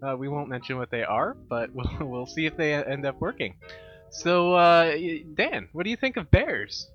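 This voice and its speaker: A man's voice: fairly high pitch, expressive, normal speed, a bit bright. A man co-hosting a comedy podcast, bantering with dry, mock-serious sarcasm. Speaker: podcast co-host. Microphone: studio microphone. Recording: slightly dull sound with faint music.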